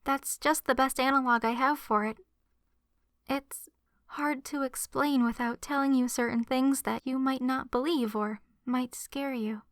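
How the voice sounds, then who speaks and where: Succubus best friend for ASMR roleplay, female performer: high voice, soft and hesitant, earnest, very dry close-mic sound. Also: fairly even intonation, measured pace, no room sound. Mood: earnest, confiding. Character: gentle young adult succubus woman, shy lovestruck best friend. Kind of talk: ASMR roleplay, addressed to the listener. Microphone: close microphone in a dry space.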